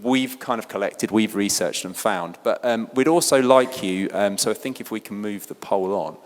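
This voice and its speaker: A man's voice: fairly low pitch, moderate pace, in a large hall. A man presenting at a conference, talking loosely and chattily as he reads out audience poll answers. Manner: loose and chatty, with many fillers and restarts. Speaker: presenter at an event.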